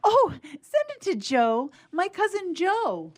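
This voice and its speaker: A woman playing an eager, chatty, excitable schoolgirl in a radio theatre piece. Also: very high voice, slow pace, full character voice. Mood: excited, teasing. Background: harp underscoring.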